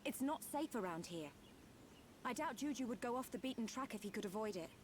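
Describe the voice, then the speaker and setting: Worried young game heroine, higher voice woman acting. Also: lively intonation, a little fast, slight background noise, lightly acted. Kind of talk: video game cutscene acting.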